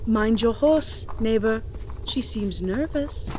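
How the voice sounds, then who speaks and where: A woman playing a composed, defiant young village farmer in a fantasy audio drama, speaking formally with polite, measured sarcasm. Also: fairly high pitch, expressive, slight background noise. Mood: dry. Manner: measured, formal, politely sardonic, uncontracted speech.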